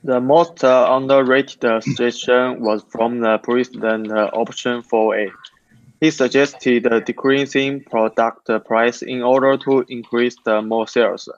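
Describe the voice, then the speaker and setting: A man presenting in a class, halting and filling his pauses. The sound is dry and lo-fi, with a middling pitch. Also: fairly even intonation, no room sound. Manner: halting, many fillers. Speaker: student presenter.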